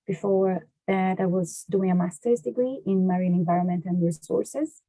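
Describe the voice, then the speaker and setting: A woman with a Brazilian Portuguese accent speaks in a very soft-edged, dark and slightly low voice, recorded dry and close. She explains things as an interview guest, pausing often to find her words. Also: no echo, rough recording. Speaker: podcast guest, water resources engineer.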